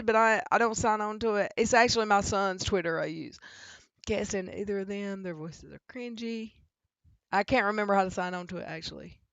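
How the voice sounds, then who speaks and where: A woman's voice: medium pitch, fairly bright tone, clean tone, dry recording, lo-fi sound. A woman hosting a casual live stream, chatting with guests and reading the chat aloud, laughing often. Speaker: channel host.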